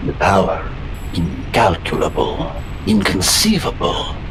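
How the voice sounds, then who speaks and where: A man's voice: deep voice, very animated, unhurried, over music, voice pushed down, full character voice, poor audio. A man voicing an imperious, grandiose villain mastermind in an audio drama, with pompous, clipped, theatrical delivery. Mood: awed, grave.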